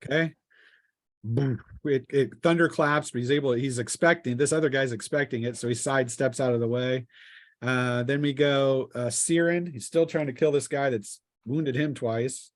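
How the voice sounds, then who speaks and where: A man acting as game master, improvising narration of a tabletop game in a halting way with drawn-out pauses. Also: mid-range voice, fairly even intonation, no echo, studio quality.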